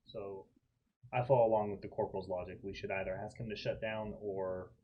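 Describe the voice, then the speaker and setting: A man's voice: on the low side, flat delivery, a little fast. A man talking casually as a player in a tabletop role-playing game. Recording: dull, faintly noisy room recording.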